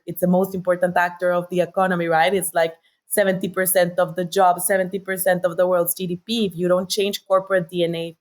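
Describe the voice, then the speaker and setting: A woman with a Colombian accent, a native Spanish speaker, telling her story articulately and enthusiastically as an interview guest. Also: lowish voice. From Bogota, Colombia. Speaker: interview guest, sustainability director.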